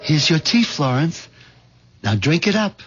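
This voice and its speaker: An actor playing a meek, middle-aged American husband who hesitates and stammers, in the style of a seventies radio mystery drama. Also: male voice, on the high side, very animated, unhurried, breathy edge, full character voice. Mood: nervously eager. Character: meek, henpecked middle-aged husband nervously plotting murder. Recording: dull vintage radio broadcast, music behind.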